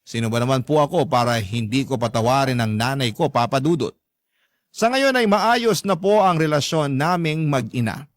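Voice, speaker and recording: A man's voice: mid-range voice, lively intonation, moderate pace, no room sound, clean studio sound, natural voice. A young man with a Filipino accent voicing a forgiving letter sender, narrating his family story politely and sincerely. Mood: reflective, sincere. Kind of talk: dramatized first-person narration.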